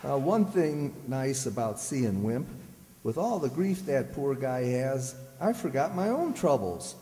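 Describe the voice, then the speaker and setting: Guy doing a grumpy hapless radio comedy husband, folksy griping, slow but bouncy pitch, hall echo. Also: big pitch swings, dark tone. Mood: amiable. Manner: griping, exclamatory. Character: grumbling, hapless, soft-hearted husband full of folksy exclamations. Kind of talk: old-time radio comedy acting. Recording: reverberant community stage hall.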